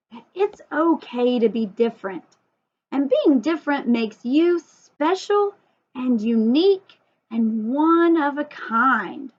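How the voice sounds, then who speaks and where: Slow, high-voiced woman reading to children. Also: dull audio. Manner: expressive, sing-song, asks listeners questions. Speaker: storyteller and teacher for young children. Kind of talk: read-aloud children's story with chatty asides to young viewers.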